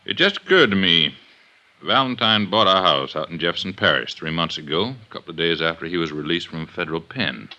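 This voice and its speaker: A hard-nosed, suspicious police inspector from 1950s radio drama. His voice sits very low and cuts with sharp, forceful edge. He is blunt and sardonic, on a dull vintage recording. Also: animated, a little fast, full character voice. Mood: suspicious, accusing.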